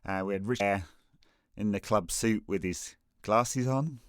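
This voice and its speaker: Low-voiced man, rambling football chat. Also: unhurried, no echo, studio quality. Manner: rambling, many fillers and restarts. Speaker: podcast co-host.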